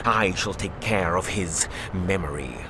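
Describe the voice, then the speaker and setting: A man's voice: low-pitched, unhurried, noisy background. A man playing a commanding, menacing villain in a video game, speaking curtly and with threat. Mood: cold menace. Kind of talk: video game dramatic acting.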